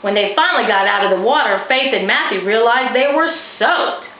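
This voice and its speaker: A woman's voice: a little high, expressive, brisk. A woman narrating a children's storybook expressively, as an author reading her own work. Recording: roomy, slightly dull, faintly noisy recording.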